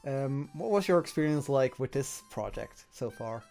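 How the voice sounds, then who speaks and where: A man hosting a casual interview over an online call, speaking informally and haltingly as he thinks aloud. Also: medium pitch, lively intonation, vocal fry, a little mellow, soft background music. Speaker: interviewer, fan project producer.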